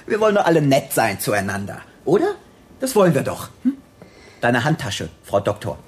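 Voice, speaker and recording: A man's voice: medium pitch, wildly swooping pitch, a little slow, slightly rough, heavily acted. A man playing a menacing, volatile armed robber on the run in a radio drama, with a crude, sneering, slangy way of talking. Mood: sleazy taunting.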